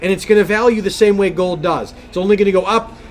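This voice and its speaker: A man's voice: high voice, quick pace. A man co-hosting a video game podcast in casual chat, speaking emphatically and effusively and repeating phrases for stress. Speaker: podcast co-host.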